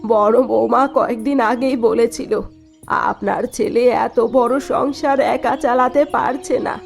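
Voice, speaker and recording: Lady doing bossy older mother-in-law for a cartoon, dramatic, a bit high, music under it. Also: fairly even intonation, a little slow, creaky. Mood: worried, indignant. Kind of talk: Bengali cartoon voice acting. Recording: music behind the voice.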